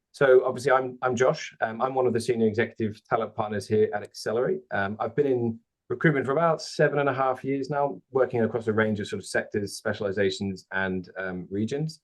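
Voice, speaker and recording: A man hosting an online panel as a recruiter, chatty with many fillers, on a video call. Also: on the low side. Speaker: host, recruiter.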